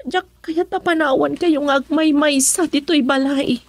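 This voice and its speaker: A woman acting in a radio drama as a gentle young daughter devoted to her father, with an Ilocano accent. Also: high voice, fairly even intonation, unhurried. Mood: earnest, sad.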